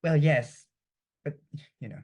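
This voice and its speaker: A man in a casual interview conversation, talking haltingly with restarts. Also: a little high, highs cut off. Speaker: interview participant.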